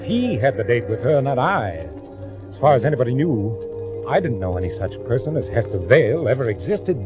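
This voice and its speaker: A man's voice: mid-range voice, lightly acted. An actor playing a lonely, meek male bank clerk with a nervous, bitter edge, in 1940s radio drama style. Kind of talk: 1940s radio acting, first-person dramatic narration. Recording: dull vintage radio recording. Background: dramatic music.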